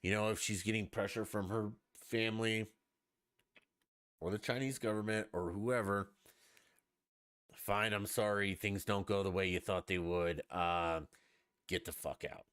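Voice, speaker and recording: A man's voice: fairly low pitch, steady tone, unhurried, pauses often, fairly bright tone, clear, resonant tone, no echo, clean studio sound. A man hosting a podcast, talking alone in a blunt, profane, emphatic rant. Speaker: podcast host. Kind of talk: opinionated solo podcast rant.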